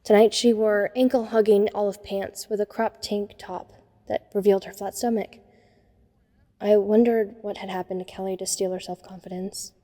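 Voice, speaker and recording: Nerdy teen girl telling her own story voiced by a woman, soft mellow sound, wry, lots of reverb. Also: mid-range voice, fairly even intonation, moderate pace, lightly acted. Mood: wry, observant. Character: self-conscious teenage science-nerd girl, wryly observant. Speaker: narrator and lead character. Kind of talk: first-person scripted audio drama narration. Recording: long reverb on the voice.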